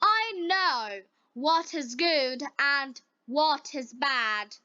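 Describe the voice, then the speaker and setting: High-voiced headstrong boy, read by a woman. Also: lively intonation, extremely slow, pushed and bright, dry recording, lo-fi sound, heavily acted. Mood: defiant. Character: headstrong young merchant's son in a moral tale.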